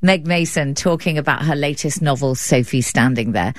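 A woman's voice: sits low, normal speed, no room sound. A woman hosting a radio arts show, leading a studio discussion in a playful, chatty way.

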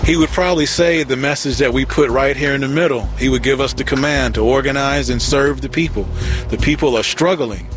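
A man's voice: mid-range voice, fairly quick. A man speaking in a documentary interview as a muralist, firm and impassioned, outdoors by a street mural. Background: background music.

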